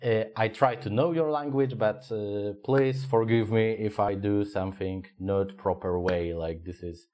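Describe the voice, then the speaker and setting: A man with a low voice and a Polish accent chatting on a podcast in English, which is not his first language. He talks in halting stretches, filling gaps while he hunts for words. He swears freely as he goes. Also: fairly even intonation, darkish tone. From Poland. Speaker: podcast co-host. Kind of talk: casual podcast chat.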